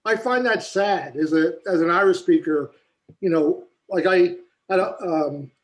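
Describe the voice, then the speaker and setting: American guy on a video call, voice a bit high, rambles and says you know a lot. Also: unhurried. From United States. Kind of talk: casual chat on a video call.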